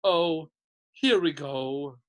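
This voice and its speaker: A mournful gentleman ghost from a storybook, played by a narrator. He is proud and easily wounded, speaking stiffly and formally with some pomp. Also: mid-range voice, measured pace, lightly acted. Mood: stiff, bitter.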